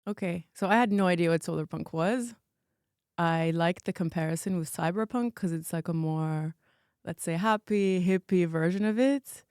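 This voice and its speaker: A woman's voice: lowish voice, moderate pace, darkish tone, clear, resonant tone, dry recording, clean studio sound. A woman from Bahrain speaking as a podcast guest in a casual round-table discussion. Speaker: podcast guest, product manager.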